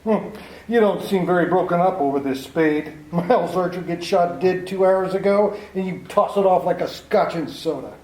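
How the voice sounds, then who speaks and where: An actor playing a blunt, hostile male police lieutenant in a 1940s radio drama, gruff and brusque. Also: sits high, moderate pace, darkish tone, natural voice. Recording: roomy 1940s radio broadcast.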